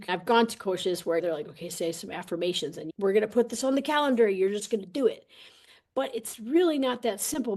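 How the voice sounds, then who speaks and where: A woman speaking as a podcast guest and coach, telling things in a casual, animated, colloquial way. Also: on the high side. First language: English. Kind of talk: casual interview talk, personal storytelling.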